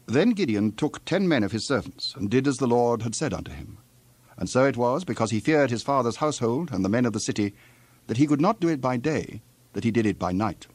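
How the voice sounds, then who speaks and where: A man narrating scripture from a script in a measured, formal manner. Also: mid-range voice, lively intonation, a little mellow, slightly rough.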